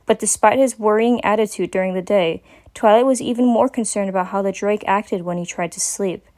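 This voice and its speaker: A woman's voice: mid-range voice, fairly quick, darkish tone. A woman giving a dramatic reading of a scripted story as its narrator.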